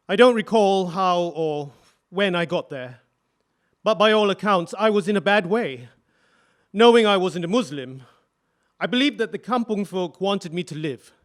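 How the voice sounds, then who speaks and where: An actor playing a grave, earnest widowed engineer, giving solemn, deliberate testimony in a courtroom drama. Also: male voice, high-pitched, close to an everyday voice. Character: grave, earnest male electrical engineer, widowed war witness.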